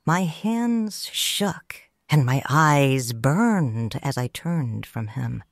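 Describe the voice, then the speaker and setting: A woman's voice: rumbling low, animated, drawn-out words, no room sound. A woman narrating a romance audio drama in first person, tender and reflective. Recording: dry studio voice with soft music.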